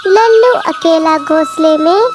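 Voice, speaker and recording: A very high-pitched storyteller narrates a children's tale at a slow pace. The reading is scripted, and loud music plays behind it.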